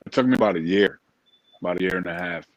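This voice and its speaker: American guy, low voice, casual interview talk, earnest, repeats himself a bit, dry sound. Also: fast, no room sound, low-quality recording. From Springfield, Massachusetts, USA. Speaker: interview guest, motivational speaker.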